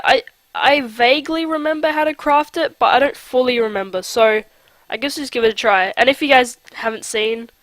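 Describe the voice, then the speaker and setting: A man's voice: extremely high pitch, a bit bright, no echo. Nasal young man chatting over gameplay. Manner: chatty, rambling with fillers. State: nasal from a cold. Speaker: let's play host. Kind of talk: casual gameplay commentary.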